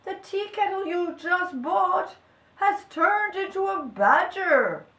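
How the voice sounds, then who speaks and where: A woman voicing eager, excitable temple helpers in a storybook, exclaiming loudly and urgently. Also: on the low side, takes it slowly, reverberant, recorded from a distance, pitched below the performer's own voice, exaggerated voice. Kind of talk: storybook character voice.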